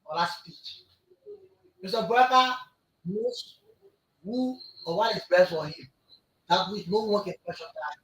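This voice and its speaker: A man's voice: high voice, big pitch swings, a little slow, long pauses, a bit bright, reverberant, a little hiss or hum, low-quality recording. A man from Liberia, a guest who argues politics insistently on a radio talk show and repeats himself to keep the floor. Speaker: studio guest, party executive. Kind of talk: heated political debate.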